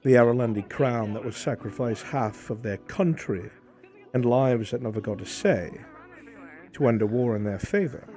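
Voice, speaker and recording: A man's voice: mid-range voice, normal speed, warm tone, lightly acted. A man playing a stern, courteous imperial military man who speaks formally and rhetorically in a live fantasy roleplay. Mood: grave, pointed. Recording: music behind the voice.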